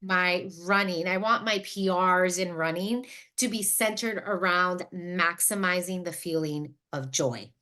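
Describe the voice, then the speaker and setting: A woman's voice: lowish voice, a little slow, few pauses. A woman in her late thirties hosting a podcast, talking casually and reflectively, working through her thoughts aloud at length. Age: late 30s. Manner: self-reflective, thinking out loud, wordy. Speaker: podcast host.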